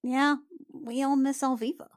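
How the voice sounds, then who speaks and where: A woman with a fairly high voice plays a tabletop roleplaying game. She talks in loose, slangy, improvised chat, and the recording is very dry. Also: fairly even intonation, normal speed, dry recording. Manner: slangy, conversational.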